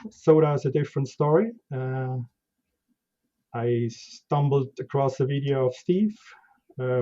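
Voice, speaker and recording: Swiss guy telling his story, mellow low tone, kinda muffled lo-fi audio. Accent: Swiss German. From Switzerland. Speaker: guest. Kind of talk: conversational interview.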